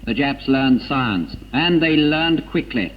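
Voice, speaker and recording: A man narrating a scripted 1940s propaganda film in a grave, emphatic manner with dramatic pauses, on an old film soundtrack. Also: medium pitch, lively intonation, lo-fi sound. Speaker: documentary narrator. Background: music.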